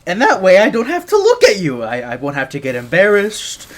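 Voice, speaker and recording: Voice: mid-range voice, huge pitch swings, fairly quick. A performer voicing a nervous, flustered young gadget engineer in an ASMR roleplay, stammering shyly and breaking off mid-phrase. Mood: flustered, embarrassed.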